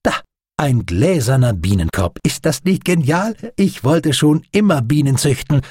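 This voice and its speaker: A man voicing an eccentric, excitable naval doctor in an audiobook, with an eager, enthusiastic manner. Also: medium pitch, moderate pace, husky, no room sound, studio quality. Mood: delighted.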